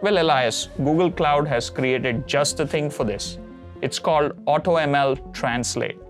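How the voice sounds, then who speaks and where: Man calmly walking through a tech demo. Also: on the high side, unhurried, faint music behind. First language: Konkani. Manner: steady explanatory, occasional "uh" fillers. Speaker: cloud product presenter. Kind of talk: scripted tech demo narration. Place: studio set with map backdrop.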